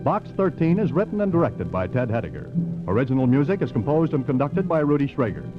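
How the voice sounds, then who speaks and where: A man's voice: mid-range voice, big pitch swings, fast. A man reading scripted programme credits as a 1940s radio announcer. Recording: dull old radio recording, loud music behind.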